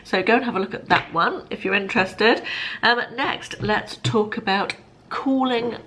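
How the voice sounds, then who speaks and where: A British woman in her fifties vlogging casually to camera, chatty and rambling, sounding slightly bunged up, in a bright living room. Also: mid-range voice, normal speed, slight background noise, rough recording. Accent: British English. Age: 50s. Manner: chatty, friendly, rambling with fillers and self-corrections. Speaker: lifestyle vlogger.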